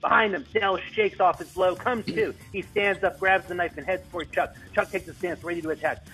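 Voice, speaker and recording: A man reads stage directions for a comedy table read in a high, husky voice. His delivery is informal, with stumbles and ad-libs. Faint music plays underneath. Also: steady tone, fairly quick, bright, forceful tone, low-quality recording. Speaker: narrator reading stage directions.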